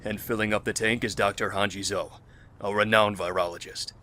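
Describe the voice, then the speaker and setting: A man playing a calm, guarded health official in a zombie outbreak audio drama, speaking in a measured, formal way. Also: on the low side. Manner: measured, formal, matter-of-fact.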